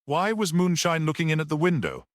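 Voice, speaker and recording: A man portrays a modest priest detective in a storybook mystery. He questions quietly and sceptically, in a voice lifted above the narration with lively intonation. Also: a little high, fairly quick, no echo, studio quality, voice pushed up. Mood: quiet challenge.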